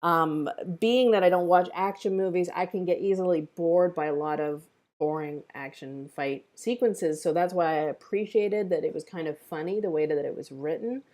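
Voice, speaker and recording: Female livestream host, slightly low register, informal group discussion. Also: moderate pace, a little mellow. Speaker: table read host.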